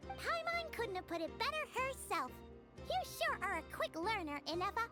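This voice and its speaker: A tiny, bubbly fairy companion with an extremely high, squeaky little-kid voice. The delivery is boastful and cheerful, leaping around in pitch like a game mascot, with prominent music behind it. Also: female voice, big pitch swings, moderate pace. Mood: proud, cheerful. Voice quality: squeaky, childlike. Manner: bubbly, refers to self in third person. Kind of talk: video game character dialogue.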